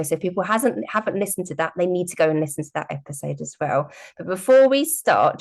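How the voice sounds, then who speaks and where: A woman's voice: on the low side, a little mellow. A woman hosting a podcast, chatting enthusiastically with her guest. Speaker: podcast host. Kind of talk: conversational interview.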